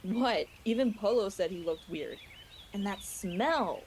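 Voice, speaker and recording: A woman plays a snide, sulky young mouse in an audio drama. She drawls her taunts slowly with lively pitch swings, over faint outdoor birdsong. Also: slight background noise, lightly acted. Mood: mocking.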